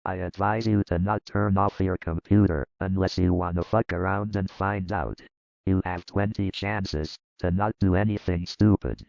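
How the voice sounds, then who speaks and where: A man voicing a gruff, crude male computer virus announcer with a gravelly voice. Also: low-pitched, fairly even intonation, normal speed, a little mellow, dry recording. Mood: mocking. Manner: crude and mocking. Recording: dull lo-fi sound.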